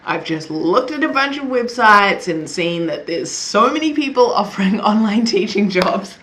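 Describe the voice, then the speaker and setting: A young woman with a New Zealand accent chats casually to her camera, breaking into small laughs and self-mocking asides. Her voice sits in an ordinary middle pitch, and a faint ambient hiss runs under her voice. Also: lively intonation, normal speed, fairly bright tone. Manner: chatty, laughs often, self-deprecating asides. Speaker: vlogger.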